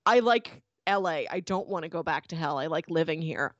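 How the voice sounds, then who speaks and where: A woman's voice: deep voice, lively intonation, moderate pace, no echo, highs cut off, pitched below the performer's own voice. Woman playing a bold, happy devil. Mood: contented. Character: happy, brazenly honest male devil loving city life.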